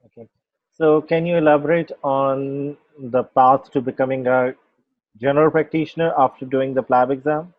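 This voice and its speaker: A man's voice: mid-range voice, fairly even intonation, moderate pace, dry recording, phone-quality sound. A man moderating a webinar, reading out audience questions in a brief, matter-of-fact way.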